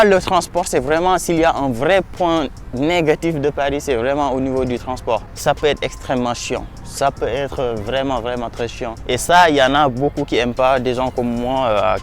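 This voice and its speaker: A young man chatting casually in a vlog, slangy and rambling, talking to his viewers as buddies while filming himself outdoors on a handheld camera. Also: medium pitch. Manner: slangy, rambling, talks to viewers as "les gars". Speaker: vlogger advising students. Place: outdoors by a waterfront landmark at sunset.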